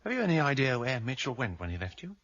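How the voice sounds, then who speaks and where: A man's voice: medium pitch, big pitch swings, fast, a bit bright. An actor in a radio drama playing an earnest, driven male outcast on a search, speaking in an intense, formal and urgent manner. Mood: pressing, indignant. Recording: dull, band-limited radio drama recording.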